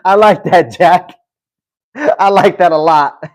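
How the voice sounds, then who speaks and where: A man's voice: high voice, takes it slowly, slight background noise. A man hosting a casual livestream, reading out viewer comments and answering them in a loose, chatty way, often adding "you know what I mean.". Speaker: livestream host.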